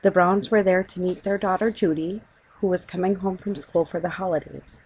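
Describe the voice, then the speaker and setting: A woman's voice: fairly low pitch, steady tone, normal speed, darkish tone, breathy edge, low-quality recording. A woman reading a children's story aloud as scripted audiobook narration.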